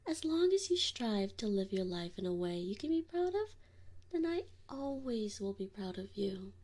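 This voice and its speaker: Woman playing a husky, doting wolf mother. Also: mid-range voice, big pitch swings. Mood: warm, teasing. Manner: soft, slow, often whispered. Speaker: ASMR roleplay performer. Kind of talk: ASMR roleplay. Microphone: binaural mic.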